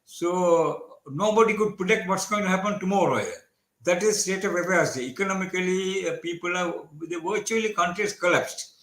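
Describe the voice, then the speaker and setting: A man's voice: sits high, normal speed, fairly bright tone. A man from Sri Lanka, giving earnest interview answers with stumbling restarts. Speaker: guest commentator. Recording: echoey room audio.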